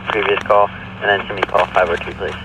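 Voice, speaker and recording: Quick, lowish male officer on radio. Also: fairly even intonation. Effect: two-way police radio. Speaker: police officer. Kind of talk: clipped procedural radio talk. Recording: very noisy police scanner capture.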